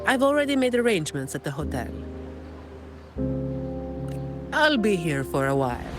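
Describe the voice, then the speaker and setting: Composed informant, music behind. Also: female voice, on the low side, sing-song, quick pace. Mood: friendly, businesslike. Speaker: game character giving briefing and lore. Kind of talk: scripted video game dialogue. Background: instrumental music.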